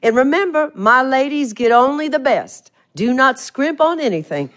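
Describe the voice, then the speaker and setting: An actress playing a proud, chatty small-town salon owner with an American Southern accent, folksy and emphatic, in an audio drama. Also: female voice, high voice, lively intonation, normal speed, a bit bright. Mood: proud, instructive. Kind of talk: audio theatre drama acting.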